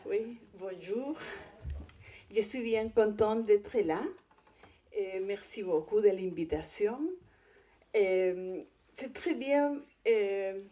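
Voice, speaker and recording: Female guest speaker, native Spanish with Chilean accent. Unhurried, soft-edged tone, hesitant phrasing, presenting at a conference. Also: medium pitch. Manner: hesitant, halting delivery with non-native phrasing. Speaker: guest speaker, visual artist.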